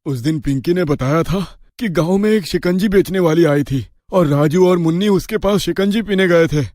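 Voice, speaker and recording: Man playing an earnest, higher-voiced village father. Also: normal speed, a little mellow, no echo, clean studio sound, lightly acted. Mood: worried. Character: worried ordinary village father. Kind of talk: animated story voice acting.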